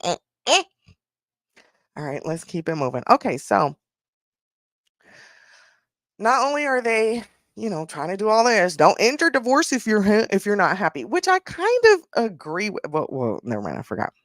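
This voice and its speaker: A woman's voice: mid-range voice, very animated, moderate pace, long pauses, no echo, clean studio sound. A woman hosting a casual commentary podcast, talking in a sassy, blunt way, stretching out her rhetorical questions. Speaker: podcast host.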